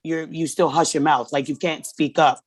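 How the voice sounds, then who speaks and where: A woman in her thirties from Tonga, with Tongan as her first language, talks openly as a guest on a podcast. Her speech is halting and full of restarts but frank. The sound is dry and close. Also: low voice, steady tone, breathy edge, no echo. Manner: candid, halting, restarts often. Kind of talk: casual conversation.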